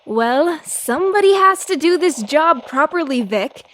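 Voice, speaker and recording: A woman's voice: high-pitched, expressive, a little slow, fairly bright tone. An actress playing a brash, scornful female newspaper reporter with a haughty, cutting delivery in a radio drama.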